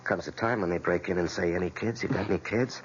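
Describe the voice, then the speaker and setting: An actor playing an earnest, devoted, plainspoken husband with a gravelly voice and coaxing manner, in old-time radio drama style. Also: male voice, low voice, lightly acted. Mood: puzzled, pressing. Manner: casual, halting, coaxing. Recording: muffled, noisy old radio recording.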